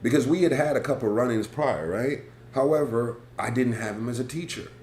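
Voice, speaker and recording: A man with a big, booming voice, hosting a casual live stream and telling a rambling, animated story from his school days. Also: fairly quick. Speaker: host. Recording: echoey room with faint background noise.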